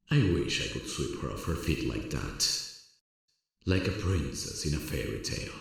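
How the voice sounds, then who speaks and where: A man playing a smitten, self-conscious theatre director who is kind to actors, in an ASMR roleplay audio drama, with a gravelly, husky voice. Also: rumbling low, exaggerated voice. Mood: anxious longing. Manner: alternates murmured private musing and projected, polite instructions.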